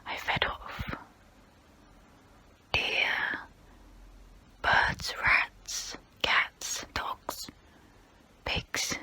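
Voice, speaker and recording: A woman playing a centuries-old female vampire, guilt-ridden and gentle yet predatory, in an intimate, breathy, halting ASMR role-play whisper. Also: exaggerated voice. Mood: remorseful. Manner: slow, halting, intimate, soothing. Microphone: close-up microphone.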